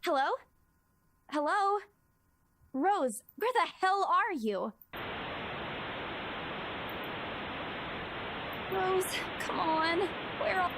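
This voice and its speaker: A woman's voice: very high-pitched, animated, slow, noisy background, heavily acted. A young actress playing a nervous, exasperated young woman in a video game, calling out pleadingly. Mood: anxious calling.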